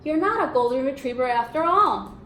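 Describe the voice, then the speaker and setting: A woman's voice: sits high, expressive, brisk, a bit bright. A woman voicing a friendly, eager young male dog in a storybook, with upbeat exclaiming lines. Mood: delighted. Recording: roomy echo, some background noise.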